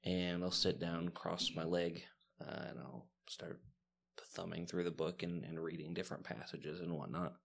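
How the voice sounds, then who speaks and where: A man playing in a tabletop game, casually describing his moves in a halting, low-key way. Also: fairly even intonation, gravelly, a little hiss or hum. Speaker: player in a tabletop game podcast.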